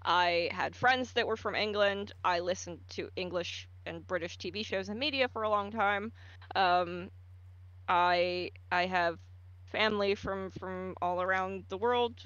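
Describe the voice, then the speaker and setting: A woman's voice: medium pitch, a little slow, fairly bright tone, dry recording, muffled recording. A woman voice actor giving casual advice on a livestream, explaining at length with frequent ums and stammered restarts. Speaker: voice actor guest.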